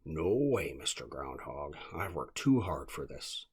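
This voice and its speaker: A scheming, hungry storybook wolf, read out by a man, low and very husky. He speaks deliberately, with a mild menace suited to a children's tale. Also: big pitch swings, darkish tone, full character voice. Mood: gloating, firm.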